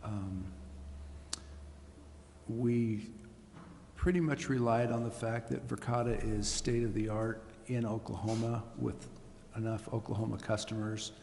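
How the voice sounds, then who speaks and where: A man on city staff answering questions at a council meeting, halting and full of fillers. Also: fairly low pitch, flat delivery, creaky, darkish tone. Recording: reverberant hall, faint noise.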